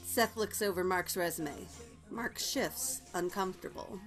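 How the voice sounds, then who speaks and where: Low-pitched woman narrating over music. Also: a little slow. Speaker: narrator reading stage directions. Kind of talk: scripted narration at a table read.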